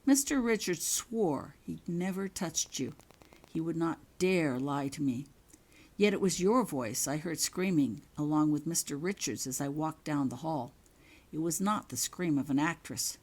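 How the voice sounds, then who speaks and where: Storybook role: calculating male wizard professor played by a woman, stern, commanding, low. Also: lively intonation, a little mellow, husky, heavily acted. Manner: commanding, measured questioning.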